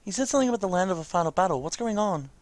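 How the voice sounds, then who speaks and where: A man's voice: sits high, animated, racing, no room sound, voice pushed up. A man voicing worried young fantasy game heroes, reading their lines aloud with an earnest, anxious manner. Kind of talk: amateur read-aloud of game dialogue.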